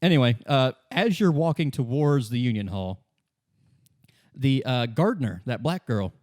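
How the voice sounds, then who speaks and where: A man narrating an improvised tabletop game as game master, halting and informal. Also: mid-range voice, animated, darkish tone.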